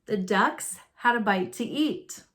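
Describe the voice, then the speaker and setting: A woman reading a children's storybook aloud expressively and deliberately, with dramatic pauses. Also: medium pitch, lively intonation, fairly bright tone. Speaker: storybook reader.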